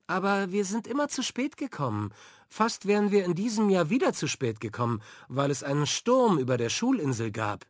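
Man playing an excited little girl, high, animated, dry close sound. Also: normal speed, dry recording. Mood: eager.

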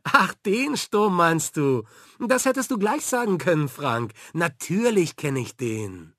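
A man doing a lively young teacher. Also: sits high, unhurried, dry recording, clean studio sound, pitched above the performer's own voice, full character voice. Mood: amused.

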